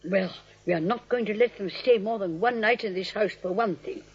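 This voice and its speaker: An actress playing a genteel elderly aunt, fussy and politely insistent, in 1940s radio comedy style. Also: female voice, medium pitch, normal speed, breathy edge, natural voice. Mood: sweetly firm. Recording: dull old radio recording.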